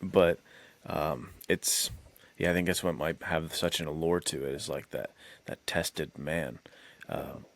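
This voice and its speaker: Low-voiced American man in casual chat. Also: unhurried. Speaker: podcast co-host.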